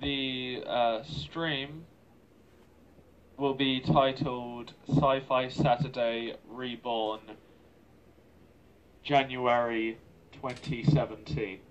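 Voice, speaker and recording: A man making casual announcements as a guest streamer, speaking in a halting, deliberate way. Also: mid-range voice, slight background noise. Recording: dull lo-fi remote voice audio.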